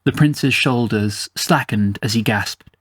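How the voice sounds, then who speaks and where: A man's voice: mid-range voice, takes it slowly, fairly bright tone, no echo, clean studio sound. A man reading scripted first-person fantasy fiction as its storyteller. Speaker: storyteller, narrator.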